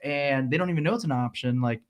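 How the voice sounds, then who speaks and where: A man hosting a casual podcast, relaxed and chatty, dropping "like" and "right?" often. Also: mid-range voice, quick pace. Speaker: podcast host.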